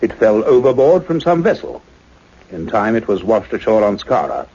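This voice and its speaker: A man's voice: on the low side, very animated, moderate pace, warm tone, breathy edge. An actor playing an earnest male scientist, speaking in a precise, formal radio-play manner. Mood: pondering, intent. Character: earnest male scientist doctor narrating his investigation. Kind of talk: radio play acting and narration. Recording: dull, lo-fi radio drama recording.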